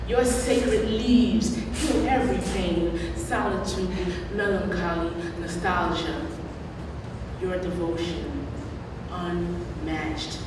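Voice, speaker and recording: A young woman reciting poetry live at a slam, with deliberate, dramatic delivery and emphatic pauses. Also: medium pitch. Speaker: spoken-word poet. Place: large room at a college, on stage at a podium. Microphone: microphones on stands at a podium. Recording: echoey hall, distant mic, background noise.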